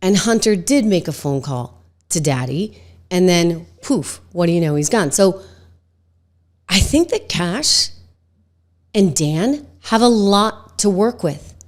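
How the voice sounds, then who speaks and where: A middle-aged woman hosting a political talk show, slightly hoarse from a cold, talking emphatically and conversationally with lots of "like" fillers. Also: on the low side, animated, takes it slowly. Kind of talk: opinionated political commentary.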